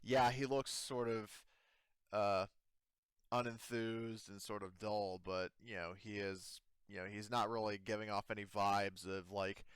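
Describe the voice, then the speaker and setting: A man working as game master, improvising tabletop narration in a halting, casual, meandering way. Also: on the low side, flat delivery, clear, resonant tone, dry recording, clean studio sound.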